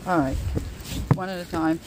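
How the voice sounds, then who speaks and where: A woman's voice: on the low side, normal speed. An older woman from Scotia, chatting and teasing in a casual home vlog filmed on a phone held at arm's length. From Nova Scotia, Canada. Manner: chatty, teasing, laughs often. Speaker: vlogger. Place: parked truck, then a home kitchen. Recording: noisy phone recording.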